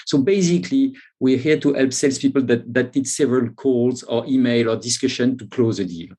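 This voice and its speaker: French-accented man, halting interview talk. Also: medium pitch, dark tone, slightly rough. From France. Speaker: interview guest, startup CEO. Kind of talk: conversational interview answers.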